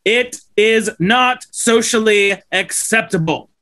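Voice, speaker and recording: A man's voice: sits high, slow, bright, forceful tone, exaggerated voice. A man in his thirties playing a slick, upbeat corporate representative lecturing schoolboys at a script table read. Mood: earnest, persuasive. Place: indoors at home on a video call.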